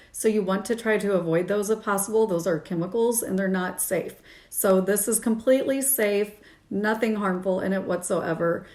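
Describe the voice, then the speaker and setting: A woman in her thirties with a slightly raspy morning voice, giving a casual, chatty product review to camera into a phone at home. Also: mid-range voice. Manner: chatty, informal, talking while applying makeup. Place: small room at home, indoors. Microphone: phone at arm's length.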